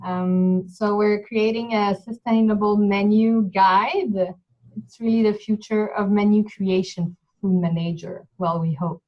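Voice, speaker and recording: A woman with a Québécois accent, French her first language, presents in friendly, slightly halting English. Her voice is mid-pitched. The call audio sounds muffled and dull. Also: fairly even intonation. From Quebec.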